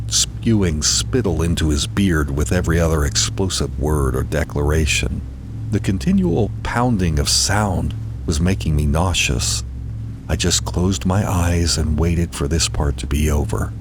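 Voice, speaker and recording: A man's voice: extremely low pitch, a little slow. A man narrating a dramatized first-person horror story, with music behind him.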